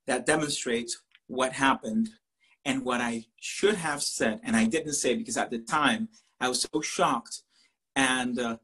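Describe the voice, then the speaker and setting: A Spanish-speaking man from Puerto Rico with a Puerto Rican accent, telling a personal story to an audience with earnest, dramatic pauses. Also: medium pitch, fairly bright tone, low-quality recording. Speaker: professor and poet.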